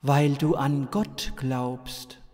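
A man voicing a solemn, commanding alien messenger in a slow, portentous manner for an audio story. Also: medium pitch, fairly even intonation, darkish tone, big-room sound. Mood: grave, imperious.

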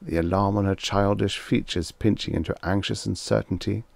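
A man's voice: fairly low pitch, steady tone, normal speed, vocal fry, no echo. A man reading a scripted science fiction story aloud as an audiobook narrator.

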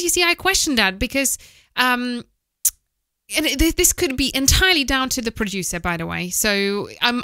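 A woman's voice: a little high, sharp tone, clear, resonant tone, no room sound. A woman in her thirties giving informal, candid advice in a conversational, opinionated way, speaking close into a studio microphone. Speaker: voice-over producer giving advice. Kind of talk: informal advice to camera, podcast style. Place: small indoor office. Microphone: close studio microphone with foam windscreen, headphones.

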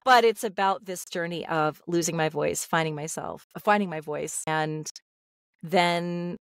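A woman's voice: lowish voice, measured pace, no echo, clean studio sound. A middle-aged woman, a voice actor, telling her life story candidly on a video call, with many fillers and restarts. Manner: open, confessional, lots of fillers and restarts. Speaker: guest, voice actor. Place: home room, video call. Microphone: studio mic on stand.

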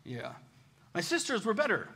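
An American man preaching a conversational sermon, casual, animated and self-deprecating, telling personal stories as a pastor. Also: sits high, moderate pace, slight background noise. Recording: reverberant hall.

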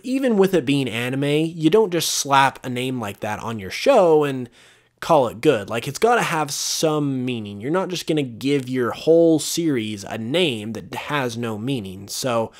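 A young man hosting a casual solo podcast, rambling with lots of fillers and losing his voice, clearing his throat now and then. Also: mid-range voice. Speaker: podcast host. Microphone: voice-over over gameplay footage.

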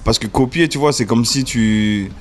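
A man in his thirties from the French Caribbean, a music video director, talking casually in an interview, relaxed and hesitant with many fillers. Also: medium pitch, normal speed, slight background noise. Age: 30s. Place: indoor room. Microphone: desk mic in front.

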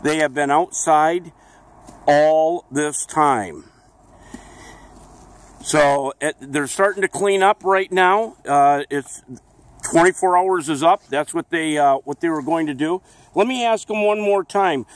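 A man's voice: on the high side, takes it slowly. Friendly man interviewing on the street. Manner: friendly, rambling with restarts. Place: outdoors on a town street.

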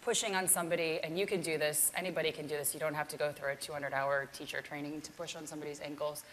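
A woman's voice: low voice, flat delivery, fast talker, fairly bright tone, slight background noise, poor audio. A woman yoga teacher giving a casual keynote talk with a live demonstration, chatty and self-deprecating.

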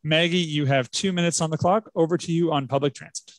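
A man moderating an online election town hall, reading out questions and handing the floor to candidates in a friendly, brisk way. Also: on the high side, steady tone, clean studio sound. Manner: friendly, brisk hand-offs. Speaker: debate moderator.

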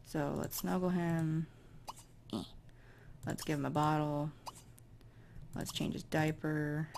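Raspy low-voiced woman narrating gameplay. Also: fairly even intonation, slow, darkish tone, slight background noise. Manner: chatty, musing aloud while directing characters. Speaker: let's play host. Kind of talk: casual gameplay commentary.

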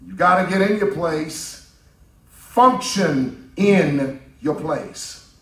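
A middle-aged man preaching a sermon from a pulpit microphone in a church, building his cadence up to shouts. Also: a little high, extremely slow. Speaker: pastor. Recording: roomy church from a distance.

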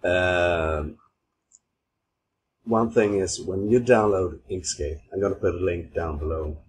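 A man from Sweden with a Swedish accent, giving an informal software tutorial in simple, halting non-native phrasing. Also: sits low. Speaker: tutorial host. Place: voice-over recorded at an old computer. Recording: steady clear background noise, mouse clicks.

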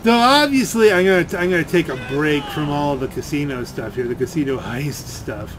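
A man's voice: a little high, expressive, brisk. A man giving casual, rambling gameplay commentary as he thinks aloud while playing. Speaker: gaming video host. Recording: loud game gunfire and vehicle noise. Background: video game gunfire and vehicle sounds.

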